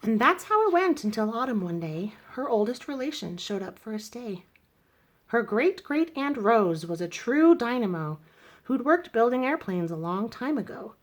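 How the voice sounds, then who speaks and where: A woman reading a rhyming children's story aloud in an expressive narrating style. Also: a little high, normal speed. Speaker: storybook reader.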